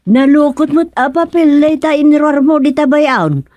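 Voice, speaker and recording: A woman's voice: sits high, normal speed, darkish tone, no echo, natural voice. A woman acting in a comedic radio drama as an exasperated relative, questioning her elder in an incredulous way. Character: exasperated, questioning younger female relative of an old parent.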